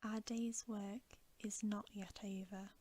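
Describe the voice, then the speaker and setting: A woman voicing a noble, commanding male lion who gives measured orders, in a whispered storybook reading. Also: mid-range voice, level tone, mellow, husky. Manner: measured, authoritative instructions.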